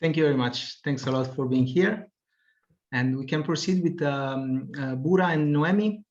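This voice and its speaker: A man's voice: fairly high pitch, normal speed, a little mellow. Hesitant man moderating a video call. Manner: hesitant, repeats words, polite thanks. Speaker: webinar moderator. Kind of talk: hosting an online meeting. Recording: muffled video-call audio.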